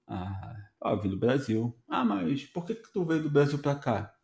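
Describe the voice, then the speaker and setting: A Brazilian man hosting a podcast, telling stories in a casual, animated way and acting out bits of dialogue. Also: medium pitch, normal speed, gravelly. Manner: conversational, animated storytelling with voiced dialogue. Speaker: podcast host.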